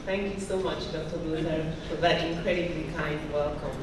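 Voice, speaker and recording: Woman doctor giving a graduation speech, formal and gracious, lowish voice, echoey far-off hall. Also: fairly even intonation, normal speed. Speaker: commencement speaker, physician. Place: large hall. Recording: echoey hall, distant and noisy.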